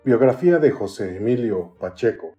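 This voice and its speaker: A man hosting a literary podcast, reading an introduction and an author biography in a formal, measured way. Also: lowish voice, lively intonation, muffled recording, soft background music. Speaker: podcast host.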